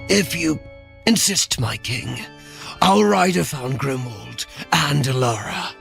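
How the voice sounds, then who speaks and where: A man playing a servile, sly royal advisor with a halting, insinuating manner in a dark fantasy audio drama. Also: on the high side, animated, gravelly, exaggerated voice. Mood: nervous, servile. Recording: music behind.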